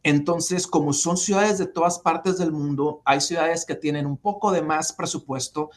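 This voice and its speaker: A man from Mexico in his forties, giving long, measured interview answers full of fillers and restarts. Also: on the high side, steady tone. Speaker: interview guest.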